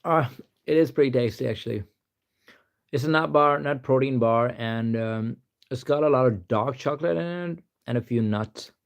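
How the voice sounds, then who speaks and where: A man's voice: medium pitch, moderate pace, gravelly. Rambling young Pakistani man chatting on a stream. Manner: rambling, reads answers aloud, says "to be honest" a lot. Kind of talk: casual live-stream chat.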